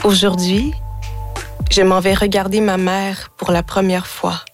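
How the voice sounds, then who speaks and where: A woman in her twenties, born in Haiti, reciting her own spoken-word poetry in a measured, deliberate way in a radio studio. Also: mid-range voice, flat delivery, faint music behind. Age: 20s. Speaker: guest poet.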